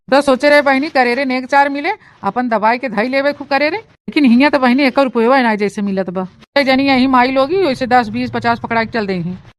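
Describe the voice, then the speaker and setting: An actress plays a sly, scheming new bride in a comic village cartoon. The voice is clearly high and has an eastern Uttar Pradesh accent. The delivery is dramatic and complaining, recorded dry with no room sound. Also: female voice, dry recording, close to an everyday voice. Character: sly rural new bride hiding a secret from in-laws. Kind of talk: comic village story dialogue and monologue in dialect.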